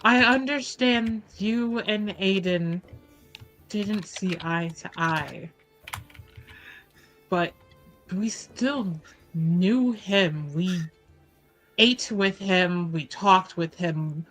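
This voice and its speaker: A woman playing a tabletop roleplaying game, speaking in character deliberately, with pauses between her phrases. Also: mid-range voice, a bit bright, faint music behind, rough recording. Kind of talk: in-character roleplay, measured delivery.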